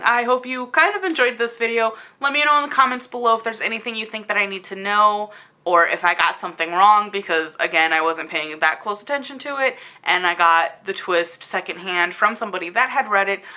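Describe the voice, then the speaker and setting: Female book vlogger, high and forceful voice, incredulous and emphatic, casual rambling delivery. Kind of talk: casual rambling video review.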